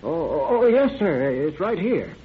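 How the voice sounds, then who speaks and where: On a dull, hissy old radio recording, a fussy small-town druggist. His fairly high voice jumps about as he stammers, hesitates and repeats himself deferentially. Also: big pitch swings, a little slow. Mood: flustered, eager. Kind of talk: old-time radio drama acting.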